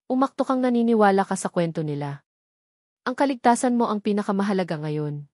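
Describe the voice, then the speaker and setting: A woman's voice: mid-range voice, lively intonation, a little fast, darkish tone, no echo, clean studio sound, close to an everyday voice. A woman with a Filipino accent voicing a serious, cautious male investigator in a storybook, firm and practical. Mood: firm, urgent. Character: serious, cautious man, a sharp-minded investigator. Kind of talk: dramatic audio storybook dialogue.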